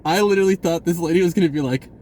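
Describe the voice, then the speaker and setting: A man telling a personal story in a casual, rambling vlog style, acting out bits of dialogue in an animated way. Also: a little high, very fast. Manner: animated, acts out dialogue, chuckles often.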